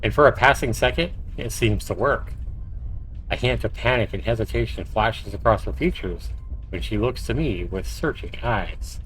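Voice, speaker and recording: Male game streamer, lowish voice, reading visual novel narration, short asides, faint music behind. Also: normal speed, fairly bright tone, rough recording. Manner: storytelling read with short asides. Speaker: livestreamer, game player.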